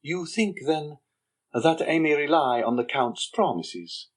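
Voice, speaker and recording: A man voicing a sly, mocking young man with a husky voice, speaking slowly and insinuatingly. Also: on the high side, expressive, voice pushed up. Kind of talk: audiobook character reading.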